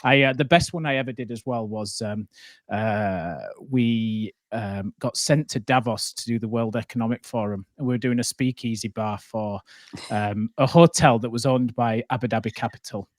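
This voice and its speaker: A man's voice: medium pitch, fairly even intonation, normal speed, dry recording. A man who is a hospitality entrepreneur guest, telling casual, rambling anecdotes and swearing freely.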